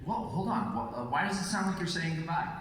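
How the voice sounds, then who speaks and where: A man's voice: fairly high pitch, animated, fast talker, a little hiss or hum. A man playing a goofy, boyish teenage hero in a comic way at a live cartoon table read. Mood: confused. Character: goofy teenage boy hero, sweetly indignant. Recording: echoey hall, distant, loud crowd.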